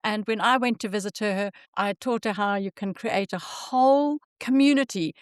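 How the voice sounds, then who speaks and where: A woman's voice: medium pitch, normal speed, smooth, no echo, studio quality. An older woman from Zimbabwe with a Zimbabwean accent, hosting a casual interview chat with enthusiasm and frequent laughter. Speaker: podcast host.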